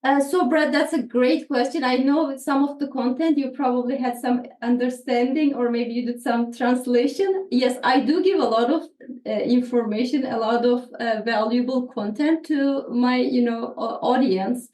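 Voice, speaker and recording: A woman's voice: sits high, normal speed, darkish tone. A woman from Turkey with a Turkish accent and native Turkish, talking earnestly as an interview guest, often repeating words as she searches for phrasing. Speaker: podcast guest, therapist. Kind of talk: conversational interview. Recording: echoey room, distant from mic.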